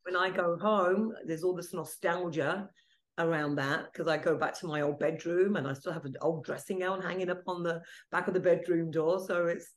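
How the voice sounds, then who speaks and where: A woman's voice: on the low side, steady tone, normal speed. A middle-aged woman from England with a British accent, Italian as her first language, chatting as a podcast guest and telling stories with light joking asides. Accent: British English. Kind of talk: casual interview chat.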